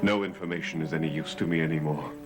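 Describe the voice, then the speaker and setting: An actor playing a brooding, cursed man who speaks slowly and gravely, from an old television soap opera. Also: extremely low pitch, steady tone, loud music behind the voice. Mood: anguished. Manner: slow, grave, anguished delivery. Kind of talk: 1970s soap opera acting.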